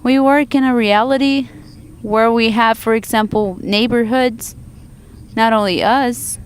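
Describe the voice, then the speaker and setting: Smooth-voiced woman interpreting a panel live. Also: fairly high pitch, fairly even intonation. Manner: halting, frequent restarts and self-corrections.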